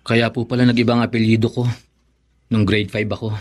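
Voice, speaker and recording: Husky, earnest young Filipino son played by a man. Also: fairly low pitch, little pitch movement, fairly quick, lightly acted. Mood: earnest, anxious. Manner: polite, tentative questioning. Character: respectful, earnest adult son, quietly anxious. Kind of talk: Filipino radio drama acting.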